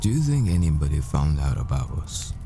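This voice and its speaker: A man playing a grieving, devoted professor boyfriend at the world's end in an intimate audio roleplay, speaking in hushed, halting, brooding phrases. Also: rumbling low, very animated, background noise. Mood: teasing, tender. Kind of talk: intimate ASMR boyfriend roleplay, dramatic monologue. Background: music.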